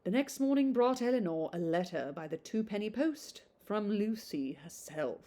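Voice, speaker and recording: A woman's voice: mid-range voice, lively intonation, normal speed, dark tone. Woman reading a classic novel, chatty asides. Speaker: reader of a classic novel for a podcast. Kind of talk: audiobook-style reading with casual asides.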